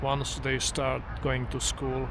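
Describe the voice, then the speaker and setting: Slow-talking man, non-native English. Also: medium pitch, monotone, gravelly. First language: not English. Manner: unhurried, reflective, with many restarts and fillers. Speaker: vlogger. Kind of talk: casual walking vlog monologue. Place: outdoors near trees and traffic. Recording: outdoor traffic noise. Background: street traffic noise.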